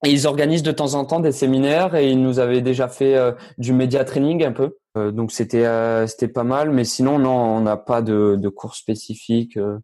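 A man's voice: medium pitch, steady tone, a little fast, few pauses, warm tone. A teenage man, a young tennis player answering interview questions casually, hesitant with many fillers and restarts. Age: teens.

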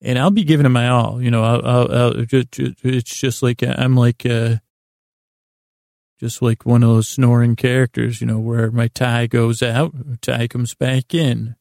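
A man hosting a sleep podcast, rambling in a meandering, hesitant, deliberate way with a self-deprecating streak. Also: medium pitch, little pitch movement, vocal fry, darkish tone, no echo, studio quality. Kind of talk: rambling bedtime monologue.

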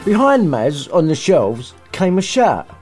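A man's voice: fairly high pitch, very animated, unhurried, a little mellow, faint music behind. A man narrating a storybook comically, with irreverent, sarcastic, sweary asides and a theatrical manner. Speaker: story reader and podcast host.

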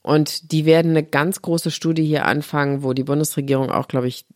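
A woman's voice: low-pitched, fairly even intonation, brisk, a little mellow, no echo, clean studio sound. A middle-aged woman from Germany, a guest on a podcast talking about her health platform, explaining things earnestly and at length. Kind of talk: podcast conversation.

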